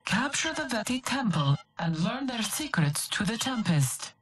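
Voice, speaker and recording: A woman's voice: fairly high pitch, very animated, a little slow, crowd noise. A woman playing a commanding, ruthless military strategist in a video game briefing, emphatic and imperious. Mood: fierce resolve.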